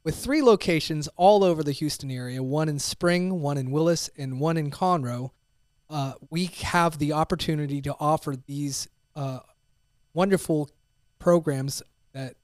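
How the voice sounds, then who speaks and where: A man's voice: a little high, normal speed, a little mellow, no echo, studio quality. A man hosting a talk podcast who chats casually and reads a sponsor plug in an upbeat way. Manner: brief agreeing replies, upbeat when plugging the sponsor. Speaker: podcast host.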